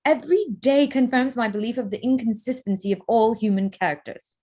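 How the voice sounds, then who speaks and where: Woman playing a spirited, candid young gentlewoman. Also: a little high, a little fast, natural voice. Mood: disillusioned. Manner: frank, articulate. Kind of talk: stage-play reading, period drama.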